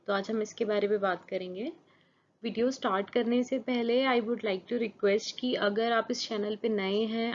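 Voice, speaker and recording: A woman whose first language is Hindi, teaching a lesson for exam students, reading English definitions slowly and explaining them with rhetorical questions. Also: medium pitch. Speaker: channel host and teacher. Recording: dull lo-fi recording.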